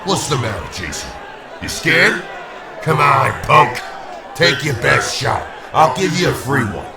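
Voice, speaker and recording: A man's voice: mid-range voice, sing-song, unhurried, creaky. A man playing a menacing, mocking older cage fighter in an audio drama. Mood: goading. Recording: loud music and roaring crowd behind.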